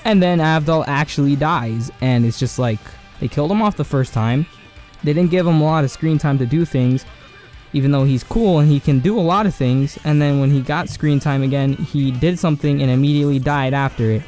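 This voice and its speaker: A man giving a casual anime commentary, rambling and slangy with lots of filler words, his voice hoarse and cracking. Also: on the high side, fairly quick, a little mellow, faint music behind. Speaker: video essay host.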